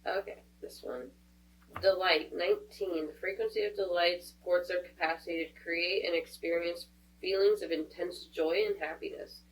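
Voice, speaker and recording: A woman's voice: on the low side, fairly even intonation, moderate pace. Stuffed-up woman reading tarot, distant mic. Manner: chatty, thinking aloud with fillers. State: stuffed up from a cold. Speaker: tarot reader. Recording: voice a bit distant from the mic, faint hum.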